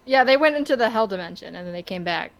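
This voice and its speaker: A woman's voice: mid-range voice, expressive, fast talker, gravelly, low-quality recording. A woman in her thirties chatting casually as a podcast co-host. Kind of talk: casual chat.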